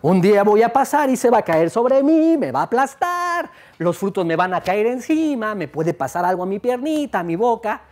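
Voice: mid-range voice, sing-song, normal speed, darkish tone, pitched above the performer's own voice, lightly acted. A storyteller voicing a worried woman who fears the leaning tree will crush her. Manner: fretful, fearing being crushed.

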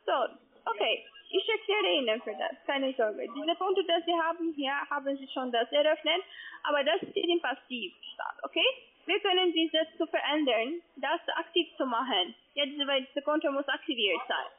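A woman speaking non-native German as a call-centre agent, insistent, halting and repeating herself on a sales call. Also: high voice, expressive. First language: not German. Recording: tinny narrowband phone line.

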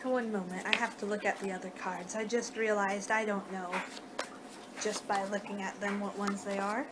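A young woman doing an improvised ASMR roleplay, muttering in an unhurried, distracted way on a noisy amateur home recording. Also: medium pitch, little pitch movement. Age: young adult. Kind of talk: ASMR roleplay, improvised reading of fortune cards. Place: small home room, indoors. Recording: noisy amateur webcam-style room recording.

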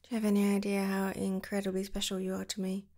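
A young woman doing a girlfriend roleplay to the listener, soft and affectionate, giggling and slipping into whispers. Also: medium pitch, monotone, fast talker. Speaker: ASMR roleplay creator.

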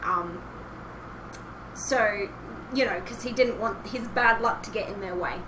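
A woman's voice: medium pitch, unhurried. A young woman podcasting in casual fan chat from a room at home, rambling and hesitant as she goes. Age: young adult. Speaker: podcast host. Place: small home room indoors, online call. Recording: roomy, noisy headset recording.

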